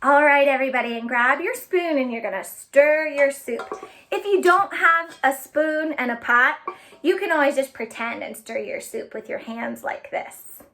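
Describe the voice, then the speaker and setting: A woman hosting a storytime for children, speaking in an animated way and addressing them directly with simple instructions and phrases. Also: high voice, moderate pace. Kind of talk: children's storytime with sing-along chants and play instructions.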